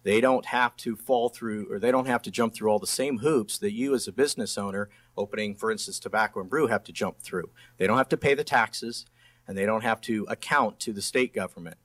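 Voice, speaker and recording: A man's voice: on the low side, brisk, fairly bright tone. A middle-aged man speaking as a candidate and district attorney in a debate, plain-spoken and often restarting his phrases. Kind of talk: political debate speech.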